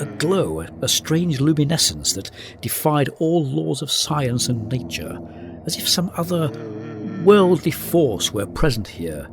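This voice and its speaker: A brooding male narrator with a very animated, rising and falling delivery over prominent music. He tells a gothic tale in ominous literary phrasing, as in a radio drama. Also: medium pitch. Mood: awed, uneasy. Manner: ominous, literary, hesitant for effect.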